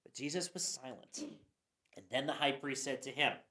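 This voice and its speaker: A woman giving a dramatic Bible reading, expressive, with occasional stumbles. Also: extremely low pitch, moderate pace. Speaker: scripture reader. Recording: roomy echo.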